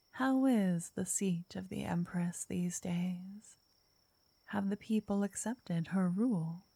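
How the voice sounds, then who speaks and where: A woman playing a gentle, flirty fortune teller in an ASMR roleplay, speaking softly in a breathy half-whisper, coaxing and soothing the listener up close. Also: mid-range voice, no room sound. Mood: coaxing, mysterious. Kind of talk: ASMR roleplay, intimate scripted address to listener. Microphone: close, dry microphone.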